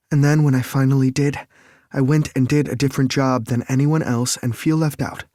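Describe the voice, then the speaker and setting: A man narrating an audiobook voices an upset young woman, lifting his voice higher and speaking with heated emphasis. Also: dark tone, no room sound, studio quality, lightly acted. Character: upset young woman, self-doubting and defensive. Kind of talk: audiobook character dialogue.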